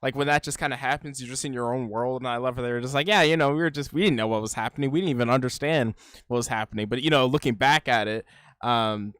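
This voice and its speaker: A man's voice: medium pitch, fast talker, fairly bright tone, clear, resonant tone, no room sound, studio quality. Rambling man reacting on camera. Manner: rambling, full of "like" and "you know". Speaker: YouTube reaction host. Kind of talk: casual reaction commentary.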